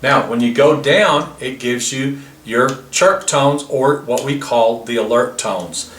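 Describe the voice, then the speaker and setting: A man's voice: mid-range voice, takes it slowly, a bit bright, a little hiss or hum. Man explaining gear, distant roomy mic. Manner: plain explanatory, walks through features step by step. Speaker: product demonstrator. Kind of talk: product demonstration.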